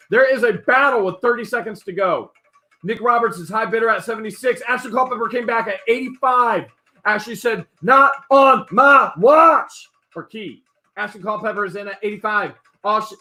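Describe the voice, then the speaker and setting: A man hosting a live online auction stream, calling out bids excitedly and chatting with viewers. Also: high voice. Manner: excited, rapid bid calling. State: often loses voice after streams. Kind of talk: fast auctioneer-style live stream chat.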